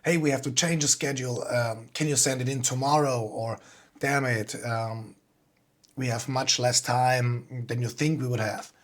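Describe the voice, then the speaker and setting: A man's voice: mid-range voice, steady tone, normal speed. Plain-spoken man with a Swiss accent. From Switzerland. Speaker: composer giving a talk. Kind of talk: informal online presentation.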